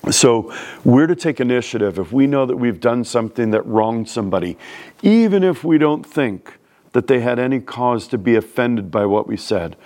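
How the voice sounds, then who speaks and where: A man's voice: medium pitch, lively intonation, moderate pace, a little mellow. A man from New York City with a New York accent, preaching a sermon in a plain-spoken, conversational way. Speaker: pastor.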